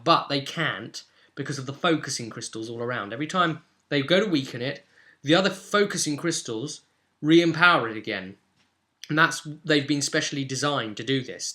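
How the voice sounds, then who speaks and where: Bright, forceful man recounting a game story. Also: medium pitch. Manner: explanatory, with conversational asides. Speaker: game master recapping a tabletop roleplay session. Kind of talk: informal off-the-cuff storytelling recap.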